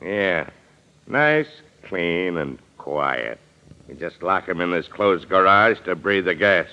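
An actor playing a cold-blooded, commanding gangster boss in clipped slang in an old-time radio crime drama. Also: male voice, low-pitched, expressive, slow pace, heavily acted. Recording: dull, lo-fi old radio recording.

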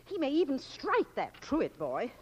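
A woman playing a gentle, fretful middle-aged mother in 1940s radio drama style, soothing and easily flustered. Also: sits high, huge pitch swings, a little slow, dull audio, heavily acted. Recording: reverberant old radio recording.

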